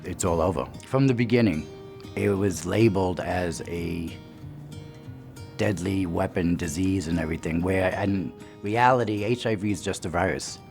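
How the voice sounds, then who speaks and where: A man in his fifties from Brooklyn with a very deep voice speaks emphatically and directly. Soft music plays behind him. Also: moderate pace, vocal fry. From Brooklyn, New York. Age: 50s. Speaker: interviewee. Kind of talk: personal testimony.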